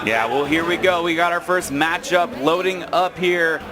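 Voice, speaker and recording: A man giving live play-by-play as an esports tournament commentator, excitable and hyping up the players. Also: a little high, moderate pace.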